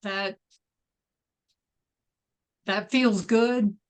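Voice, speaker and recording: A woman's voice: medium pitch, steady tone, extremely slow. Woman, mid-range voice, guest writer, informal conversational delivery. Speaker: author guest. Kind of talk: casual discussion of own writing.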